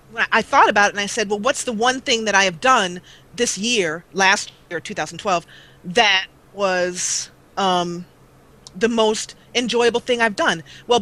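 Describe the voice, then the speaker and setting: A chatty woman in her forties talks about her gaming channel as an interview guest. Her voice is bright and clear. She rambles, restarts, and fills gaps with ums, and her mic is old and taped up. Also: mid-range voice. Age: 40s. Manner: rambling, chatty, with many fillers. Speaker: guest let's player. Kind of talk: casual interview chat. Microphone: old duct-taped mic that cuts out.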